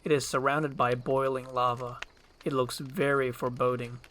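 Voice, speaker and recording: A man's voice: medium pitch, flat delivery, moderate pace, a bit bright, a little hiss or hum. A man reading scene directions from a screenplay as a storyteller, in scripted ASMR narration.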